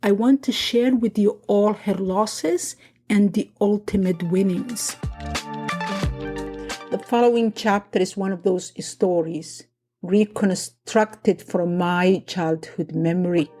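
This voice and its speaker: A middle-aged woman from Somalia, narrating her own family memoir in a reflective, unhurried way. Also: mid-range voice, over music. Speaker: podcast host and narrator. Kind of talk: personal memoir storytelling.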